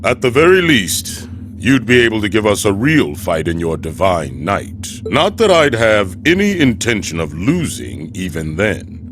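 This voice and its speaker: An actor playing a stern, protective male mercenary in a video game, speaking formally and deliberately with dry menace. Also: lowish voice, very animated. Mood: calm menace. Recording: music behind the voice.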